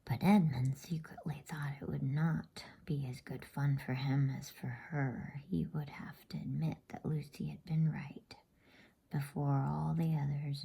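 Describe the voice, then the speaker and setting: A woman whispers slowly and soothingly as she hosts and reads an ASMR bedtime story in a breathy, hushed voice. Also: deep voice.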